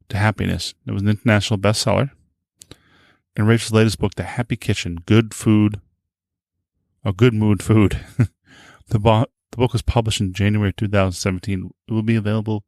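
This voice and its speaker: A man's voice: lowish voice, gravelly, a little mellow, no room sound, clean studio sound. A man hosting a podcast in a casual, informal way, often rambling and rephrasing himself. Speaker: podcast host. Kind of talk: casual podcast introduction and interviewing.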